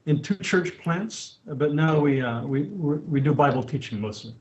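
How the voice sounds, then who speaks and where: A man's voice: on the high side, normal speed. A man in his sixties who grew up in France, a missionary answering interview questions steadily with many restarts. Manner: steady, with frequent restarts and fillers. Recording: dull lo-fi sound.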